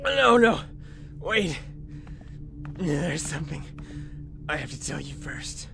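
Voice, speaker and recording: An actor playing an earnest, defiant scientist in an audio drama, speaking with sardonic intensity. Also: male voice, a little high, animated, takes it slowly, creaky, pushed and bright, slight background noise, full character voice. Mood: wounded, defiant. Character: earnest, defiant male scientist, bitter at corporate greed. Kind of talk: dramatic radio play acting.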